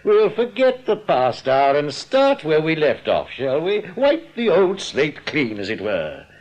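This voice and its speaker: An English actor playing a suave, scheming bank manager in a radio drama, wordy and full of oily, theatrical politeness. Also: male voice, a little high, wildly swooping pitch, measured pace, a little mellow, dull audio, exaggerated voice. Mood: breezy. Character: suave, smug, scheming male bank manager, mock-gracious crook. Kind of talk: British radio drama acting.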